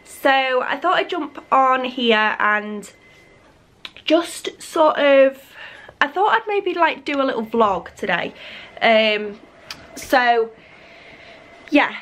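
A young woman in her twenties, slightly husky as she gets over a cold, chatting to camera in her living room with lots of fillers. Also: high voice, expressive, slow, pauses often, fairly bright tone. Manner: rambling, many fillers and restarts. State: recovering from a cold. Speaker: vlogger. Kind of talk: casual chat to camera. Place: living room at home, indoors. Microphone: camera on a tripod.

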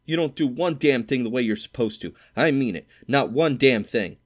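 A man voicing a cocky, irritable teenage boy roommate who swears and scolds loudly. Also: fairly low pitch, expressive, fast, close to an everyday voice. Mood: scolding. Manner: loud, exasperated, swearing.

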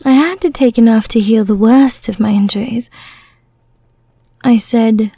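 A woman playing a seductive, commanding vampire in an ASMR roleplay, speaking softly and breathily, often in a whisper, with a coaxing, deliberate, hypnotic manner. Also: on the high side, slightly rough, no echo, studio quality. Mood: calm, firm.

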